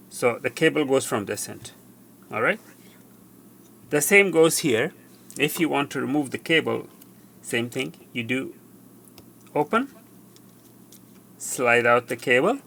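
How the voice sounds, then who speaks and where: A man presenting a hands-on repair tutorial, explaining step by step in a halting way and repeating himself. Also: medium pitch, creaky, fairly bright tone. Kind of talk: hands-on how-to demonstration. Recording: faint background noise.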